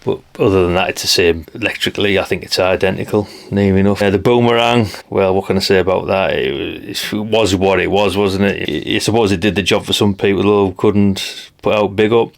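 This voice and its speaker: Low, gravelly North East English man. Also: steady tone, fairly quick. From North East England. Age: middle-aged. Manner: chatty, matter-of-fact, often ends remarks with tag questions. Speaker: vlogger. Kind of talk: casual rambling commentary.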